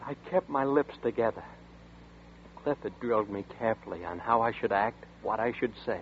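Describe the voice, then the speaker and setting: A man voicing a frightened young man accused of murder, nervous and halting, in 1940s radio drama. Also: fairly low pitch, animated, a little hiss or hum, lightly acted. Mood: tense narration. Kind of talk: 1940s radio drama, first-person narration. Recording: tinny old radio broadcast with music.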